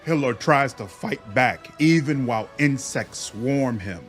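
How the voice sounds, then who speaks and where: A man giving dramatic scripted narration of a fantasy game, deliberate and theatrical. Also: medium pitch, expressive, a bit bright, soft background music. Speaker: gaming video narrator.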